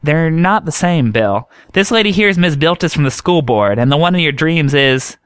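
A man voicing a folksy, kindly woman doctor with a homespun, gentle manner. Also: a little high, lively intonation, moderate pace, clean tone, no room sound, clean studio sound, pitched above the performer's own voice.

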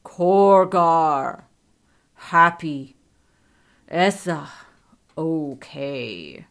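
A woman voicing a big, simple-minded male warrior in a fantasy story, speaking in halting, blunt, broken phrases. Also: sits low, lively intonation, vocal fry, exaggerated voice. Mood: simple, contented. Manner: halting broken speech, few blunt words. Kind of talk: fantasy audiobook character acting.

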